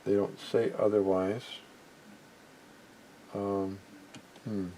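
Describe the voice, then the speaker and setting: A man's voice: fairly low pitch, flat delivery, drawn-out words, dark tone, low-quality recording. A middle-aged or older man giving an unscripted hands-on demonstration, musing hesitantly and often trailing off mid-thought. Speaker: vintage electronics hobbyist demonstrating equipment. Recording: home recording with background noise.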